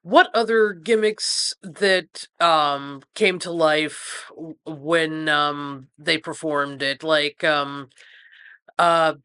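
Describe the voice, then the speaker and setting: A woman co-hosting a podcast, chatting casually and posing questions in a halting, hesitant way. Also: low voice, bright, forceful tone, clean tone. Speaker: podcast co-host.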